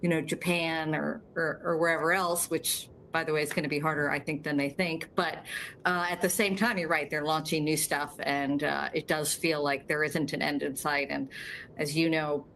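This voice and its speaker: A woman policy strategist answering a news interview in a measured, analytical way with frequent fillers. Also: low voice, flat delivery, a bit bright, a little hiss or hum, low-quality recording. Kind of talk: broadcast interview.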